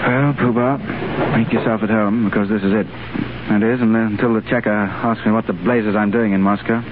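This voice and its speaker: A gallant British agent played by a man with a clipped, upper-class delivery at a middling pitch. He comes through a tinny 1930s broadcast with faint hiss. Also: fairly even intonation, a little fast, close to an everyday voice. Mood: brisk.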